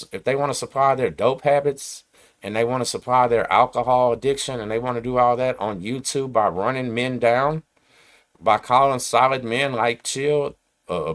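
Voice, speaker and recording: A man's voice: medium pitch, a bit bright. A man, hosting his channel and ranting to camera in emphatic streetwise slang. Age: 40s. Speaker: host. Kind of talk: casual rant to camera.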